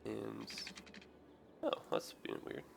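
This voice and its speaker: A man with a raspy, hoarse, tired voice, rambling through casual game commentary with many ums and self-corrections. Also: slow pace, noisy background. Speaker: gaming video host. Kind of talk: casual let's play commentary.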